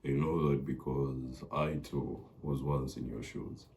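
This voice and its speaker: Very deep-voiced hesitant young man. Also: level tone, measured pace, gravelly, a little mellow. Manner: hesitant, full of fillers and restarts; earnest, confiding. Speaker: vlogger. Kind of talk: personal talk to camera.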